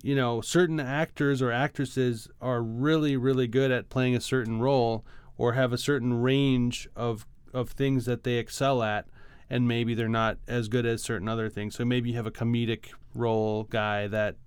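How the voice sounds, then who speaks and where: A man co-hosting a podcast, talking casually about music in a halting, hedging, explanatory way. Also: mid-range voice, clear, resonant tone, dry recording. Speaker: podcast co-host.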